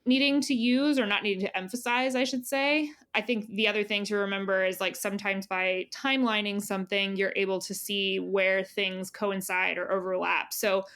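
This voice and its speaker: A woman's voice: medium pitch, steady tone, moderate pace, few pauses, fairly bright tone, clear, resonant tone. A woman speaking as an editor being interviewed, in a casual conversational style, thinking aloud with frequent "you know" and "like". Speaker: magazine editor, interview guest.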